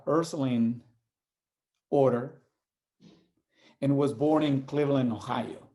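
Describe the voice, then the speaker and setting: A man from El Salvador, giving an earnest, measured reflection and reading aloud with pauses. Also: mid-range voice, warm tone. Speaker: community organizer sharing a reflection.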